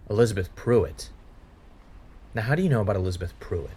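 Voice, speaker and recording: An actor playing a suspicious, insistent podcaster in a naturalistic audio drama, pressing and probing for a hidden truth. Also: male voice, lowish voice, expressive, a little fast, warm tone, breathy edge.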